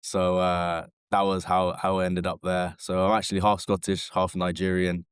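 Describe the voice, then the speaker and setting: A man, a former rugby sevens player, talking casually as a podcast guest in a relaxed, rambling way with lots of fillers. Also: low-pitched, moderate pace, smooth, no room sound, studio quality. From England.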